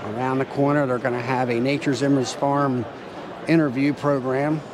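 A man hosting a walking tour of a convention hall, narrating in a relaxed, plain-spoken way while filming. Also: medium pitch, moderate pace. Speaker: channel host. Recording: noisy trade show hall.

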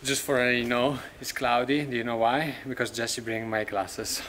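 A man's voice: medium pitch, level tone, normal speed, a bit bright, slight background noise. A man in his thirties with an Italian accent, a native Italian speaker, chatting playfully on an outdoor travel vlog. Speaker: travel vlogger. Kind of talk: casual vlog chat. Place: outdoors in a hilltop town. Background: faint background music.

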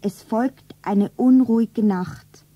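An actress playing an anxious, self-admiring young woman in a radio drama, with breathy, deliberate storybook diction. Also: fairly high pitch, dry recording, lo-fi sound. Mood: uneasy. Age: young adult. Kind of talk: stylized radio drama narration.

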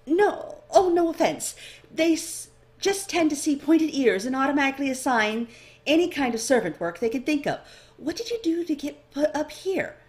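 A woman voicing a chatty, flustered male elf librarian in a fantasy story, hurried and slightly impatient. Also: high voice, sing-song, darkish tone, voice pushed up. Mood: breezy.

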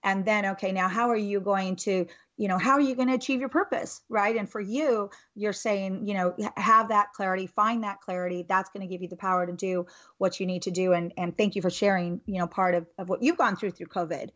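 A woman hosts an interview in a middle-pitched voice, enthusiastic and rambling, full of you-knows and ums. Her questions circle before landing. The sound is slightly muffled. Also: fairly even intonation, a little fast, a bit bright. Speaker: podcast host. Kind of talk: interview host.

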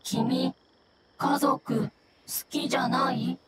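A woman voicing a gentle little floating fungus creature in a game dub, speaking in halting, broken phrases. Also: high voice, fairly even intonation, very noisy. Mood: earnest, affectionate. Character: gentle small floating jellyfish-like fungus spirit, simple halting speech. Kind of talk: Japanese game dub dialogue. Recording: loud background music behind voice.

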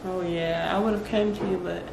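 A woman's voice: fairly low pitch, steady tone, fairly quick. A woman, chatting casually as a client in a small room indoors. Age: 20s. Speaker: vlogger, salon client. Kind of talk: casual chat. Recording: roomy echo, clearly noisy room.

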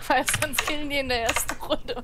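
A woman's voice: sits high, fairly even intonation, moderate pace, a loud crowd. A woman chatting and joking casually with friends over a voice call while playing. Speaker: game player.

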